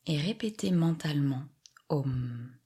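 A woman guiding a yoga meditation with soft, soothing scripted instructions and long pauses. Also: deep voice, expressive, slightly rough. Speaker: yoga nidra relaxation guide.

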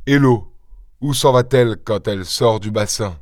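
A man voicing a calm, enigmatic gentleman investigator in a storybook reading, measured and terse. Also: on the low side, no echo, studio quality, natural voice. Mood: calm, probing. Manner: measured, terse, self-assured.

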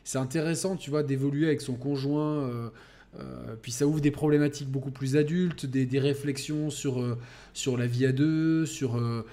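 A man's voice: mid-range voice, fairly even intonation, moderate pace, dark tone. Enthusiastic man hosting a podcast. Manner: enthusiastic, hesitant with many fillers, thanks donors. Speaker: podcast host. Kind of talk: casual chat.

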